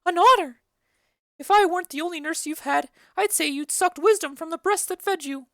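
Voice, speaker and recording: A woman voicing a chattering, bawdy old female nurse in a dramatic reading of a play, rambling and loud. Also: extremely high pitch, normal speed, no room sound, clean studio sound. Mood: gossipy. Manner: loud, rambling, giggly storytelling.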